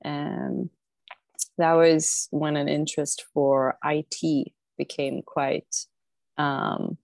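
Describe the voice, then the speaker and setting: Woman guest, low, slow and reflective, on a remote call from home. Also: flat delivery, gravelly, darkish tone, dry recording. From southern Sweden. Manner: thoughtful, unhurried, often pausing to think. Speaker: podcast guest. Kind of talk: casual conversational interview. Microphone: remote online call.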